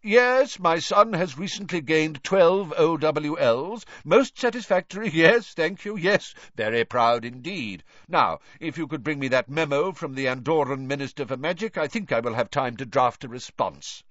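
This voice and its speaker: A man's voice: fairly high pitch, lively intonation, moderate pace, hardly any pauses, fairly bright tone, no room sound, muffled recording, voice pushed up. A man voicing an exhausted, deranged older wizard official in an audiobook, rambling and gasping with effort. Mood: delirious, officious. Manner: muttering, gasping, broken effortful speech.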